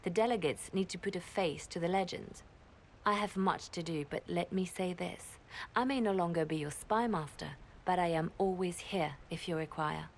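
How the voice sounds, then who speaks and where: Poised female religious leader, voiced by an actress, formal and reassuring, lower pitch; for a game. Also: moderate pace, slight background noise, close to an everyday voice. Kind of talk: video game cutscene acting.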